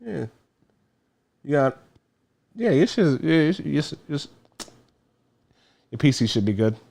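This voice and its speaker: A man's voice: fairly high pitch, very animated, measured pace, creaky, darkish tone. A man co-hosting in casual chat, joking and swearing in a laid-back way. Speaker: co-host.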